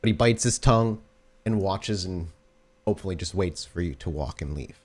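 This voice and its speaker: A man's voice: sits low, moderate pace. A man acting as game master, improvising descriptive, cinematic narration for a tabletop roleplaying game.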